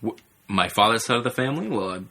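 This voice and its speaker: A man's voice: on the low side, animated, fast, pushed and bright, a little hiss or hum. A man chatting in a mock-serious, hesitant way on a casual comedy podcast, recorded in a bedroom. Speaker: podcast host and engineer. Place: bedroom, under a bunk bed. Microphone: shared podcast microphones.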